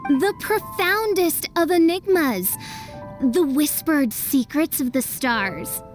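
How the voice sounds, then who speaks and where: A high, slow and deliberate young princess voice, grandiose and melodramatic, in a fantasy game. She speaks in florid, archaic phrases over the music. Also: lively intonation. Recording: game soundtrack music behind.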